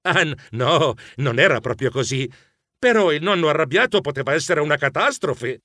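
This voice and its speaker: A man voicing an anxious, bashful gentlemanly mouse who narrates his own adventure in a children's audiobook, expressive and fretful. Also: fairly high pitch, normal speed, dry recording, studio quality. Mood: flustered, worried. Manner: expressive, fretful, easily embarrassed.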